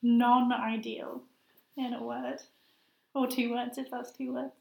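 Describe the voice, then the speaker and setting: A young woman in her twenties with a high voice, a master's student answering interview questions in a hesitant, thoughtful way with many fillers. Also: little pitch movement, slow pace, gravelly, darkish tone. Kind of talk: casual conversational interview. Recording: roomy echo.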